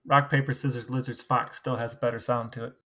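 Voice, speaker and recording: A man's voice: medium pitch, level tone, fast, a bit bright. A man co-hosting a podcast, putting questions in a casual interview style.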